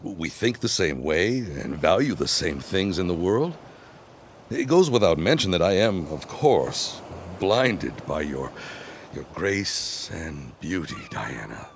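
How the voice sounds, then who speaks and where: Very deep, suave gentleman suitor played by an actor. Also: big pitch swings, slow pace, exaggerated voice. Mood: ardent. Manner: courtly, grandiose formal diction. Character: suave ambitious gentleman suitor of 1916, ardent. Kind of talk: period audio drama acting. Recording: clear background ambience noise.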